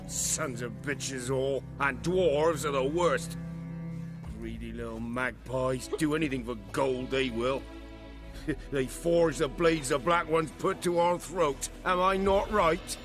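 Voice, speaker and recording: A man as a slow-talking, coarse village lout. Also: mid-range voice, close to an everyday voice. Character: surly foul-mouthed male village arsonist, bigoted drunkard. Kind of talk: video game acting. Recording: music behind the voice.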